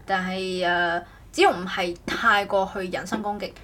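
A woman's voice: mid-range voice, fairly even intonation, moderate pace, a bit bright. A woman chatting casually as a podcast host, rambling and often correcting herself. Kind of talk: casual chat.

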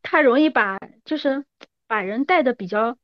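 A woman's voice: a little high, animated, normal speed, dry recording, muffled recording. A woman in her thirties hosting a casual podcast chat in an agreeable, conversational way. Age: 30s. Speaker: podcast host.

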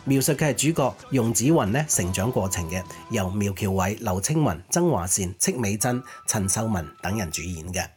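A man's voice: lowish voice, lively intonation, moderate pace, hardly any pauses, a little mellow. A man chattily co-hosting a music nostalgia show, sharing conversational commentary. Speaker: co-host of a Cantopop nostalgia show.

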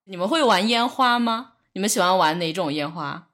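A young woman speaks at an average pitch in a relaxed podcast conversation. She sounds like she is thinking aloud, musing and feeling her way through sentences haltingly. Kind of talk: casual podcast chat.

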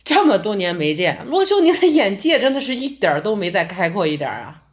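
A woman playing a conservative male theater director in an audio drama, flustered, interrupting and quick to shoot ideas down. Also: medium pitch, very animated, lightly acted. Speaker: director character.

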